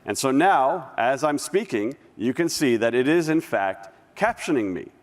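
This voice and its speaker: A man presenting a live software demo on stage, talking casually and restarting sentences as he clicks through. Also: medium pitch, expressive, normal speed. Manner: conversational, with restarts while demoing. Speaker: conference presenter. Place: large conference hall stage. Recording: long reverb of a large hall.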